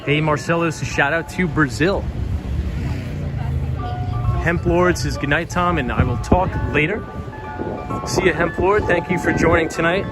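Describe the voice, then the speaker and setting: A man's voice: a little high, normal speed, long pauses. Man livestreaming on a night city walk. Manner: friendly, reads out viewer comments. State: voice slightly tired. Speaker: livestream host reading chat. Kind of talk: casual live-stream chat while walking. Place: outdoors on a city street at night, windy. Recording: street stream with clear music behind.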